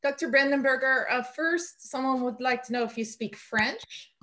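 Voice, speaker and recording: A woman in her forties moderates a webinar from her webcam, reading out audience questions. Her voice sits a little high, with occasional fillers. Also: moderate pace, fairly bright tone, rough recording. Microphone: video call webcam.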